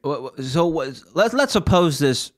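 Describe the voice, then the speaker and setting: A man's voice: a little high, sing-song, a little slow, no room sound. A male interviewer asking probing questions and often repeating his first words.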